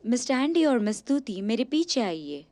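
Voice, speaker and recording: A woman's voice: a little high, very animated, normal speed, a little mellow. A woman voicing a polite, rule-bound receptionist in a dubbed cartoon, courteous, formal and firm. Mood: polite, brisk. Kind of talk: dubbed animated cartoon acting. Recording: music behind the voice.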